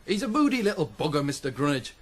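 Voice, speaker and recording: Blunt, higher-voiced farmhand. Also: male voice, sing-song, fast. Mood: indignant, grumbling. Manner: blunt, needling, grumbling. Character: gruff, proud, taunting farm wagoner, male. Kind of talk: 1980s radio drama acting.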